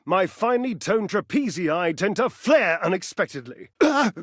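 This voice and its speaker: A male actor voicing a vain, lovesick washing machine in a video game, grandiose, flirtatious and petulant, with theatrical pauses. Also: high voice, very animated, dry recording, studio quality. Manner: grandiose, flirtatious, melodramatic delivery with dramatic pauses. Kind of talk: video game character voice acting.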